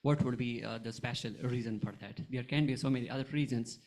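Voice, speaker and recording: A man with a mid-range voice stands up from the audience to ask a question. He speaks politely and haltingly, stopping often on "uh" while he shapes his question. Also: medium pitch, steady tone, darkish tone. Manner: halting, polite, with many "uh" fillers. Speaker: audience member asking a question. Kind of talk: conference Q&A question.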